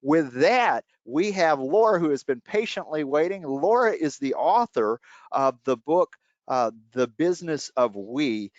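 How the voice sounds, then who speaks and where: A man's voice: fairly high pitch, animated, unhurried, no echo, highs cut off. A man hosting a podcast, talking casually with many fillers and easy laughs. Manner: chatty, frequent fillers and laughs. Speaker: podcast host.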